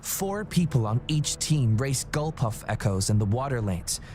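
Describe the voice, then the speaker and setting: A man's voice: medium pitch, animated, measured pace, a little mellow, faint music behind, lightly acted. An actor playing a confident young male relay runner in a video game, casual and friendly. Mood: upbeat, friendly.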